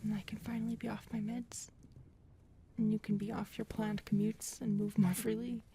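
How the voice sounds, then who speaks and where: A woman playing a big, affectionate alien in an intimate audio roleplay, soft, breathy and playful, talking closely to her partner. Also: mid-range voice, level tone, normal speed, a little hiss or hum, close to an everyday voice. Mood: tender, sleepy. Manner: soft, breathy, playful, often dropping to whispers.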